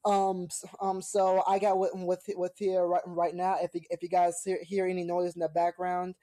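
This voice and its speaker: A woman hosting a reaction video, commenting casually and haltingly, often repeating her words. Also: lowish voice, monotone, mellow, dry recording. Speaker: host of a reaction show.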